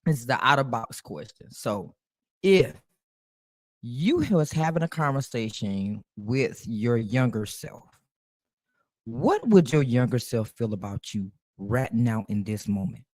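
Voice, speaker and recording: Woman podcast host, playful and teasing, animated pitch, dry close sound. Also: dry recording. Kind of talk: casual interview questions.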